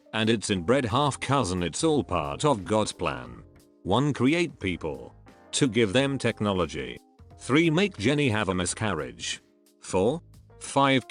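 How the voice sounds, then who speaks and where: A man narrating a series of online posts in a steady read-aloud style. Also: fairly low pitch. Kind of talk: scripted narration.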